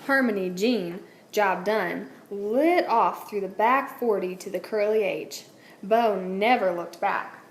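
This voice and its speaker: Lady teacher reading a kids picture book out loud, echoey room, some background noise. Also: mid-range voice, takes it slowly. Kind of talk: children's storybook read-aloud. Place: indoors at a wooden table, book on camera. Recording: roomy echo, clear background noise.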